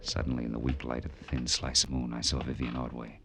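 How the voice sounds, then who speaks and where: An actor playing a tough American private detective in a 1940s radio drama, terse and wisecracking. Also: male voice, very deep voice, little pitch movement, fast talker, gravelly, noisy background. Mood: tense, hushed. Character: tough, hard-boiled male private detective narrating his case.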